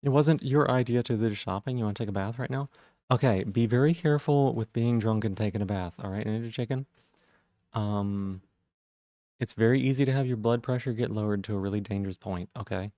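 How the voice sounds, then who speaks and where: A man streaming live, chatting casually with viewers and greeting them by name, with a rough voice today. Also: fairly quick, mellow, dry recording, studio quality. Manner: friendly, greets chat members by name, jumps up in pitch on greetings.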